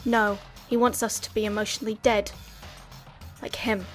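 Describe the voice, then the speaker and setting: A woman's voice: mid-range voice, flat delivery, moderate pace, soft background music, close to an everyday voice. An actress playing a blunt, impatient young woman with a curt, dry manner in an audio drama. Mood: sardonic, impatient.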